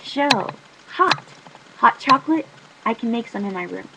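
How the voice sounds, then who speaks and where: A woman voicing a gentle, friendly teenage girl who lives next door, in a storybook reading. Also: on the high side, expressive, a little slow, creaky, noisy background.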